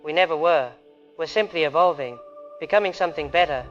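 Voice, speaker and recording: An actor playing an eerily serene researcher, recording a calm, measured, detached diary entry for an audio drama. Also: male voice, on the high side, soft background music, natural voice. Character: eerily serene male researcher, secretly changed, logging recordings. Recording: dull lo-fi log recording.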